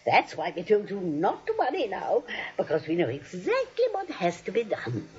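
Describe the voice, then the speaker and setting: An actress playing a kindly, chatty elderly spinster with a guileless manner in a 1940s radio comedy. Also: female voice, medium pitch, wildly swooping pitch, normal speed, a little mellow. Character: kindly, fluttery elderly spinster aunt, innocently murderous. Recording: dull vintage radio recording.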